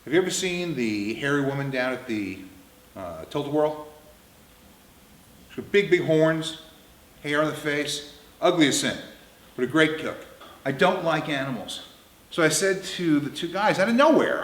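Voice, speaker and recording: A man in his fifties tells comic stories in a roomy, echoing space. His delivery is lively and swings around in pitch. He is blunt, crude and emphatic. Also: moderate pace. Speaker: storyteller. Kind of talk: comedic anecdote storytelling. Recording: roomy, echoing indoor sound.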